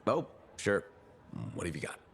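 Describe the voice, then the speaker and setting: A man's voice: normal speed, a bit bright, slight background noise, close to an everyday voice. A man playing a confident, wry young hero in a video game, talking in a dry, level-headed way. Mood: teasing. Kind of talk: video game cutscene acting.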